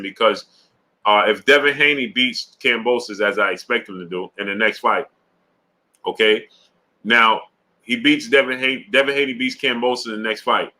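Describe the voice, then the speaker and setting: A man with a bright, forceful tone hosts a casual boxing live stream. He greets chat viewers by name and works his points out loud, stumbling through fillers and restarts. He keeps checking in with his audience as he goes. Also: medium pitch. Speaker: sports show host.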